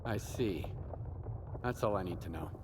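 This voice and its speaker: An actor playing a cool, businesslike male survivor hero in a video game, speaking in a terse, measured, matter-of-fact way. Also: sits low, noisy background. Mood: calm, guarded. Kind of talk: video game protagonist acting.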